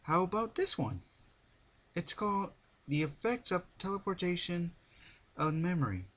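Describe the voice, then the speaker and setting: A man's voice: high-pitched, big pitch swings, normal speed, sharp tone, voice pushed up, heavily acted. A man voicing a bouncy, curious young female cartoon pony in an eager, questioning manner. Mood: eager, puzzled.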